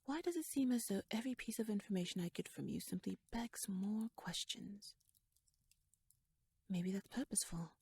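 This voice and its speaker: Actress as a shrewd royal lady for an audio roleplay: hushed, airy voice, mid-range, measured courtly wit, very dry recording. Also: mid-range voice, breathy edge, no room sound. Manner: wry, courtly, measured, probing. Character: shrewd, teasing young noblewoman, poised royal chancellor. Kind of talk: ASMR audio roleplay, dramatic monologue.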